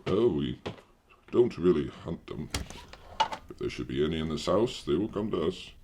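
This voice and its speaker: A man acting in an audio horror drama as a grave, earnest older doctor who hunts apparitions, speaking in a formal, halting, pedantic manner with a gravelly voice. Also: a bass voice, animated, background noise.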